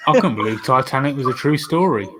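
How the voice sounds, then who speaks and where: A man's voice: medium pitch, lively intonation, fast talker, a bit bright, a little hiss or hum. A man on a casual panel chat, cutting in with teasing one-liners.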